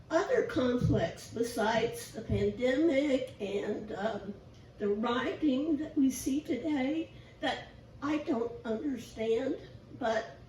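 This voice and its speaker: Squeaky, raspy woman preaching slowly. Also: darkish tone, recorded from a distance. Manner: chatty, self-deprecating, asks the congregation questions. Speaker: lay preacher. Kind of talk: informal sermon. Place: church sanctuary. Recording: echoey church from afar, faint hiss.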